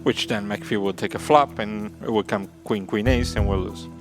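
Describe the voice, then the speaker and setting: A man from Italy with an Italian accent, a native Italian speaker, chatting casually as a co-commentator and pausing to find words. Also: on the low side. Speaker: guest co-commentator. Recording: broadcast with music playing behind. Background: music.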